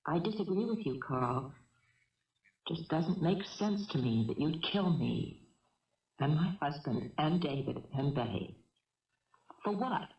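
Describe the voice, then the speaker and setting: An actress playing a calm, caring middle-aged mother held hostage in a 1970s radio drama, measured, earnest and gently persistent. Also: sits low, creaky, full character voice. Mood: steady, reproachful. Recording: dull, echoey vintage radio recording.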